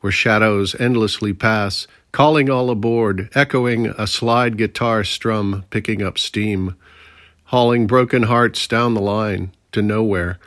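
A man's voice: fairly low pitch, a bit bright, no echo, studio quality. An American man in his sixties, a poet, speaking from a prepared text in a reading style. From Pittsburgh, Pennsylvania, USA. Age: 60s. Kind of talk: prepared introduction and poetry reading.

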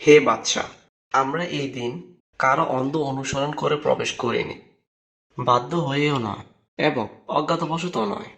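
Man acting an earnest devout youth, creaky voice. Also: mid-range voice, fairly even intonation, normal speed, pauses often, fairly bright tone. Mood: resolute. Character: devout young man, earnest, friendly, resolute believer.

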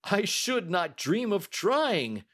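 A man reading aloud and voicing a distraught middle-aged male banker who speaks slowly, pleading in anguish. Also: high-pitched, sing-song, voice pushed up, full character voice.

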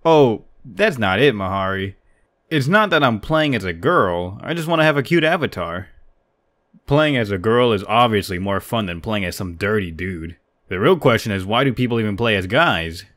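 A man's voice: on the low side, expressive, moderate pace, fairly bright tone. A man voicing a self-conscious, defensive young male gamer in a manga dub.

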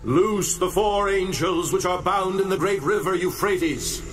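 A man's voice: high-pitched, measured pace. A man voicing a commanding heavenly voice that calls out from the altar. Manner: commanding, proclaiming. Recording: distant voice over music.

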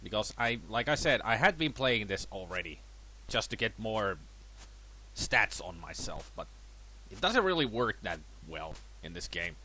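A man's voice: measured pace, slight background noise, rough recording. A man with a cold and a slightly worn voice, casually commenting on his own boxing gameplay in a self-deprecating way. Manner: chatty, self-deprecating, lively swings in pitch. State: has a cold, voice a bit worn. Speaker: let's play gamer.

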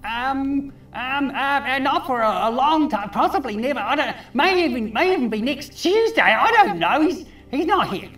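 A woman voicing an excitable, grumbling fish in a children's puppet show, exclaiming and muttering incredulously. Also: high voice, moderate pace, hardly any pauses, reverberant, recorded from a distance, soft background music. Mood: nervous, evasive.

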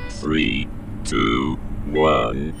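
A man voicing an impassive, gravelly countdown announcer in a mech video game. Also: very deep voice, very slow, over loud music, heavily acted.

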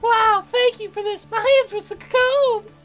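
Man doing a very high, melodramatic young woman. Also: fairly even intonation, unhurried, a bit bright, faint music behind. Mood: earnest, playful. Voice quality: falsetto. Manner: melodramatic, gushing. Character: young woman songwriter, hopeless romantic, dramatic, excitable.

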